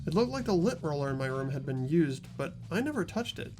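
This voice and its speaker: A man voicing an earnest, anguished teenage boy student investigating a murder, in dramatic halting monologue for a visual novel. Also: on the high side, very animated, music in the background. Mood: puzzled, earnest. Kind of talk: voiced visual novel reading.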